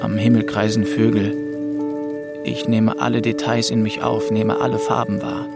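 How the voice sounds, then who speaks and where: An actor playing a weary, haunted young man who narrates his story in a measured, brooding way. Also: fairly low pitch, level tone, vocal fry, over loud music. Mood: resigned. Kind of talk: scripted first-person audio drama narration.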